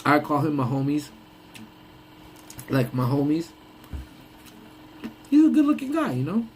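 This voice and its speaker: A young man with a slightly higher voice that sounds congested. He rambles through a casual live chat, laughs often and trails off mid-thought. There is a faint hum of ambience behind him. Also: sing-song, measured pace, a little mellow. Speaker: live stream host.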